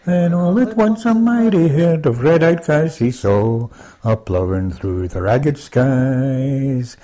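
A speaker in their seventies recites song lyrics. The delivery is very slow, with a mellow tone and a lively rise and fall. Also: medium pitch. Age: 70s.

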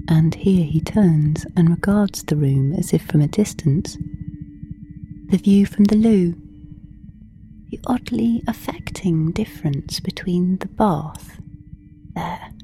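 A woman narrating a scripted story in an intimate, hushed and measured manner, pausing between short phrases. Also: fairly low pitch. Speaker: narrator of an audio drama.

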